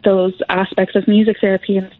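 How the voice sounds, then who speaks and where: A woman's voice: mid-range voice, flat delivery, fairly quick, dry recording. A young woman music therapist talks through her work in an easygoing way, her speech full of small fillers. The sound is thin and tinny, like a phone line, and the voice is dry and close, with no room around it. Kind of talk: interview guest, casual explanation.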